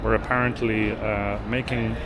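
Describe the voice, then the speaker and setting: A man's voice: on the low side, little pitch movement, moderate pace, a loud crowd, poor audio. A man hosting a casual walking vlog on an outdoor city street, speaking bluntly and with emphatic indignation. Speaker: travel vlogger, host. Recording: street traffic noise behind.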